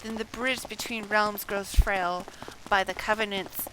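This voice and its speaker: A woman game streamer reading story dialogue aloud and commenting, halting over unfamiliar words. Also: medium pitch, fairly even intonation, background noise. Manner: narrates action between acted lines, halting on unfamiliar words.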